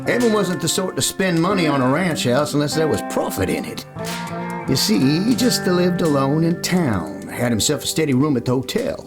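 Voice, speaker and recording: A man's voice: fairly high pitch, big pitch swings, normal speed. A man playing an easygoing, kindly Texas cowboy with a hesitant, rambling folksy manner in a Western radio play. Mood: folksy, reminiscing. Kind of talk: old-time Western radio play acting. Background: plucked guitar music.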